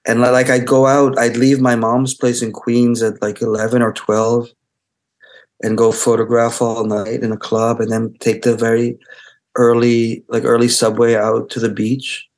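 Reflective Israeli-born man, halting speech. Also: medium pitch, completely flat delivery. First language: Hebrew. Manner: halting, searching for words, many restarts. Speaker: photographer. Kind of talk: interview, reflective talk.

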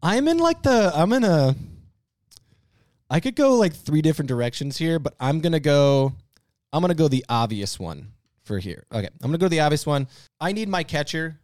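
A man co-hosting a podcast in casual, joking banter, playfully opinionated and animated. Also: a little high, normal speed, no echo, clean studio sound. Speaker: podcast co-host.

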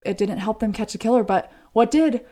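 A woman's voice: fairly high pitch, brisk, dark tone. An American woman co-hosting a podcast, telling a true-crime case in a conversational way. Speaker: podcast co-host.

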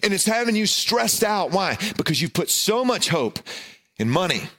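A middle-aged man preaches with a loud voice, moving from serious points into a humorous story at his own expense. His speaking voice sits a little higher than most men's and swings up and down as he tells it. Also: sing-song, moderate pace. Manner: self-deprecating, humorous storytelling with big pitch swings. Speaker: pastor. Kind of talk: sermon with personal story.

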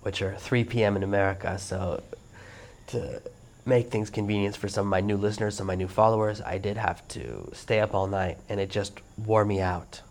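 A man hosting a solo podcast whose voice is hoarse and worn out. He explains things in a steady, earnest way. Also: fairly low pitch, normal speed. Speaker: podcast host. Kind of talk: solo instructional monologue.

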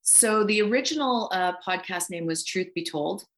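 A woman's voice: lowish voice, expressive, normal speed. A middle-aged woman from Canada, talking casually and reflectively as an interview guest. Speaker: guest voice actor and writer.

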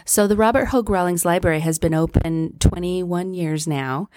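A woman's voice: on the low side, moderate pace, darkish tone, dry recording. A woman from Colorado, a library director, answering interview questions in a friendly, informative way. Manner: friendly, informative, some fillers. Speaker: library executive director, podcast guest.

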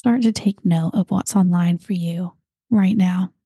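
A woman guiding a meditation, talking slowly and calmly and at times dropping into breathy whispers. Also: on the low side, no room sound, studio quality. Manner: slow, soft and calm, drifting into breathy whispers. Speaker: meditation guide. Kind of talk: guided meditation.

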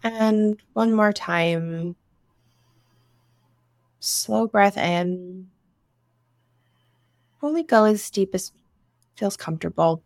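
Slow-paced woman guiding meditation. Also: medium pitch, darkish tone, no room sound. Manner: soothing, instructive, with long pauses between short phrases. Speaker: meditation guide, breathwork teacher. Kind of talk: guided meditation.

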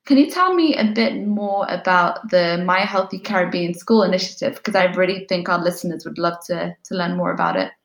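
A woman's voice: on the low side, brisk, vocal fry, hardly any pauses. A woman from Turkey hosting an interview, asking questions in an encouraging manner. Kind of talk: podcast interview. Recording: roomy echo.

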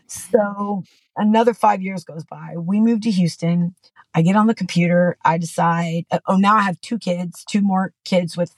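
A woman's voice: fairly low pitch, vocal fry, no echo. Candid middle-aged woman telling her story. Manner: candid, rambling, frequent "you know" and restarts. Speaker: podcast guest. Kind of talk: personal story in conversation. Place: Texas.

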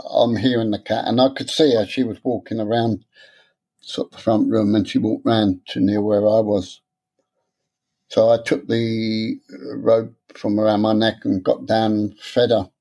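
Soft, halting man with a stammer. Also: fairly low pitch, flat delivery. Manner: halting, repeats words, soft-spoken, candid. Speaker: interviewee. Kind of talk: personal interview.

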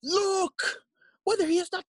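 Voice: very high voice, steady tone, a little slow, no room sound, studio quality. An actor playing a fussy old male counsellor at a royal court in a classical audio play, with a theatrical manner. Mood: alarmed.

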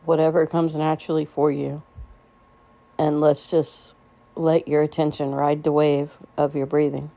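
A woman leading a guided meditation in a gentle, soothing way, with unhurried phrasing and pauses. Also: deep voice, little pitch movement, vocal fry.

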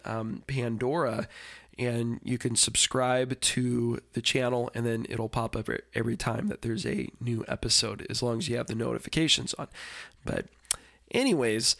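A congested man talks through his solo podcast intro, rambling and apologetic, tripping over restarts and ums. His voice sits at an ordinary middle pitch, stuffy through the nose, recorded close and dry with no room sound. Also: vocal fry, a bit bright, no echo. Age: adult with a daughter. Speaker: podcast host. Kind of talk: casual solo podcast talk.

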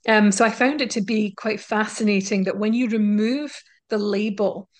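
A woman professor talks through her research findings in an interview. Her pitch sits in the middle range. She explains carefully, pausing with ums and restarting her phrases as she thinks. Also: fairly even intonation. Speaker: linguistics professor, guest. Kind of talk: academic interview answers. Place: university in Scotland.

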